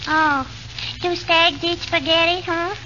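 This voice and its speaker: A woman's voice: very high voice, steady tone, slow. A woman playing a sly, pestering little girl with a childlike, wheedling delivery in 1940s radio comedy. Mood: pleading. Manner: wheedling, catchphrase-prone. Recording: noisy, muffled 1940 radio broadcast.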